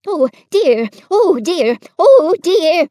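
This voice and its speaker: A woman's voice: very high-pitched, sing-song, extremely slow, warm tone, dry recording, clean studio sound, pitched above the performer's own voice, heavily acted. A woman voicing a timid, anxious little male piglet who stammers in panic while reading a storybook aloud. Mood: panicked.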